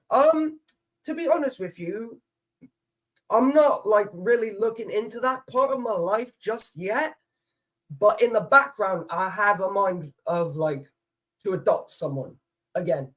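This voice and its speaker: A man's voice: high-pitched, lively intonation, unhurried. A man in his twenties from Poland, chatting casually as a guest, rambling and swearing easily. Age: 20s. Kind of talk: casual chat.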